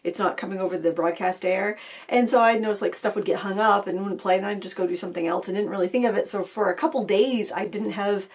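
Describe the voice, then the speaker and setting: A middle-aged woman hosting a craft channel, talking casually to camera with a slightly raspy voice after being ill, rambling with fillers and restarts. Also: mid-range voice, steady tone, fast. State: recovering from illness, a little raspy.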